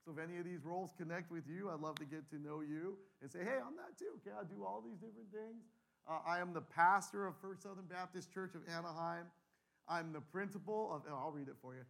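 A man's voice: sits high, quick pace, gravelly, a bit bright, low-quality recording. A man in his forties, a pastor giving a humorous talk to a live audience, joking and calling for replies. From Canada. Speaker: pastor and guest speaker. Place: large room with a live audience.

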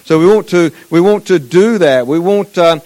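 Folksy Southern preacher addressing a church. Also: male voice, high-pitched, moderate pace, darkish tone. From Eastern North Carolina. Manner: folksy, chatty, asks the congregation questions. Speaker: guest missions speaker. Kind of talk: sermon. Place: church sanctuary.

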